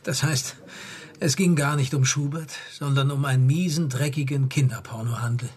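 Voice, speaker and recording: A man playing an earnest, hesitant music expert who is easily dismayed, in a radio crime drama, with a husky voice. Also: medium pitch, moderate pace, lightly acted. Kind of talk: naturalistic German radio crime drama dialogue.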